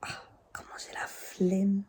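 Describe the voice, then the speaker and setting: A woman's voice: slow, warm tone, in a whisper. A young woman in her early twenties with a Belgian French accent, vlogging casually and mixing in English words and slang. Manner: chatty, sprinkles in English words and youthful slang. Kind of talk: casual vlog with scripted voice-over narration.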